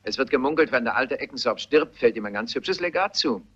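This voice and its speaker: A matter-of-fact male police assistant in a radio mystery. His medium-pitched voice is bright and forceful, offering theories plainly. The recording is a bit muffled. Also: animated, fairly quick, breathy edge. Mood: matter-of-fact, speculative. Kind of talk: German radio mystery play acting.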